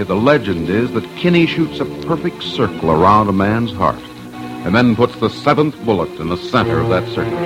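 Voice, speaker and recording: Male host for vintage radio crime documentary. Low, very animated, solemn delivery over music. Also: poor audio. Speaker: host and investigator. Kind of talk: scripted 1950s radio documentary narration. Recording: old radio broadcast with music.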